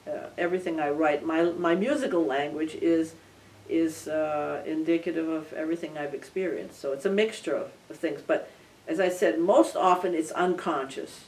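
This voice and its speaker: A woman's voice: deep voice, moderate pace. Older woman composer, slightly distant mic. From Belmont area, United States. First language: Armenian. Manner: warm, thoughtful, with frequent restarts and soft laughs. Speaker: composer being interviewed. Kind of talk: casual reflective interview. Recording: recorded from some distance.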